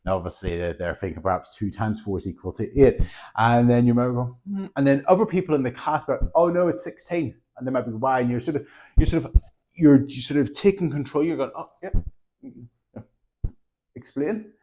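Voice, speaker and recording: A man's voice: mid-range voice, fairly quick, slight background noise. A man, a maths teacher casually and animatedly explaining a classroom method to other teachers, often checking in with "okay?". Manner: chatty, animated, tags lines with "okay?".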